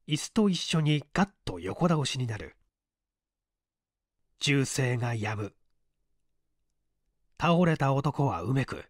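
A man reading mystery fiction as an audiobook narrator, deliberate and theatrical, with pauses. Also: medium pitch, dry recording, studio quality.

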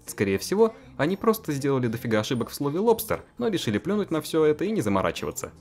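A giggly, animated narrator whose pitch leaps wildly up and down while reading the comic story. Faint music runs underneath. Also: very fast. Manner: animated, giggly, swings into squeaky put-on voices. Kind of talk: comic amateur visual novel narration.

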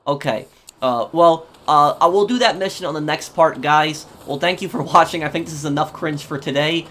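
A man hosting a gaming video with casual, halting commentary, often repeating his words. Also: a little high, pushed and bright.

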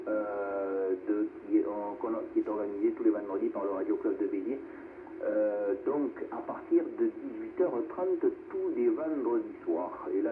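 A man speaking casually as an amateur radio operator, heard over the air. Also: on the low side. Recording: noisy narrow-band radio reception.

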